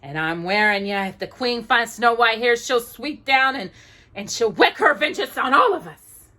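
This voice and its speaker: A woman voicing a surly little dwarf man with a gruff, grumbling manner. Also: a little high, animated, normal speed, sharp tone, voice pushed up.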